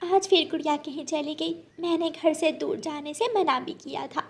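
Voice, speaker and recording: Woman voicing an anxious, high-voiced mother bird. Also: normal speed. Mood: worried. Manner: fretful, motherly. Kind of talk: Hindi children's cartoon story acting.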